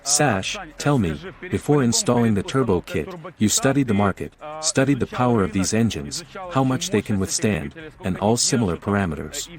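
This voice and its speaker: Low-voiced man hosting a car review. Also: lively intonation, moderate pace, hardly any pauses, a crowd in the background. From Ukraine. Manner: guiding, asks questions, addresses viewers as guys. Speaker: car show host.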